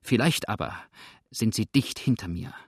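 A man's voice: on the low side, animated, moderate pace, breathy edge, dry recording, clean studio sound. A man voicing a hunted, ruthless outlaw in an audiobook, grim and threatening, with a sneering edge. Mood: urgent. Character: hunted, ruthless male fugitive outlaw, exhausted and menacing. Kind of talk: audiobook character acting.

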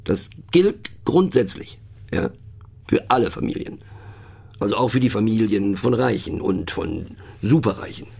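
A man's voice: lowish voice, big pitch swings, unhurried, vocal fry, darkish tone, slightly rough, full character voice. A man playing a pensive, mocking narrator in a satirical radio play monologue, speaking ironically with conversational, questioning asides. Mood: wry, mocking. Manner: ironic, rhetorical, punctuated with little questioning tags. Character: wry, pensive, mocking male narrator musing on words.

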